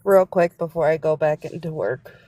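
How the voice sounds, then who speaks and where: Low-voiced, earnest lecturing woman. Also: normal speed, warm tone, no echo. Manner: earnest, lecturing, searching for words. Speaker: livestream guest. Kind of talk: casual livestream chat.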